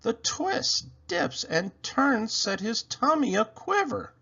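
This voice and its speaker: A man reading a rhyming picture book aloud to children in a theatrical, deliberate way. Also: very high-pitched, very animated, pushed and bright, slightly rough, muffled recording. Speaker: children's storybook reader.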